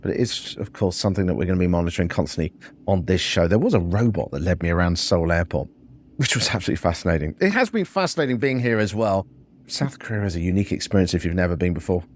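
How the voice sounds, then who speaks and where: A man's voice: low voice, lively intonation, quick pace. A middle-aged man hosting a solo podcast, speaking in a reflective, conversational way and sharing personal anecdotes. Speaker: podcast host. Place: hotel room.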